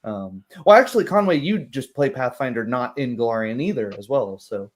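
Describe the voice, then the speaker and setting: A man's voice: medium pitch, animated, brisk. Man casually reflecting as a game master. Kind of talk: casual post-game discussion.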